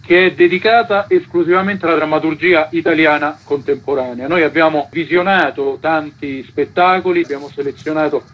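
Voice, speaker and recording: A man's voice: on the high side, fairly quick, hardly any pauses. A man giving a spontaneous, explanatory radio interview as a guest who organises theatre events. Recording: dull, lo-fi radio interview sound.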